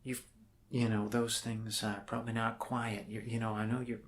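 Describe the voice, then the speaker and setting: A man in an ASMR role-play playing a friendly doctor who rambles casually and haltingly to reassure an alien patient. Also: lowish voice, level tone, close to an everyday voice. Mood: friendly explaining.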